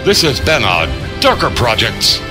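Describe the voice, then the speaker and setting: A man's voice: medium pitch, wildly swooping pitch, a little slow. A man working as an announcer, reading scripted end credits. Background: music.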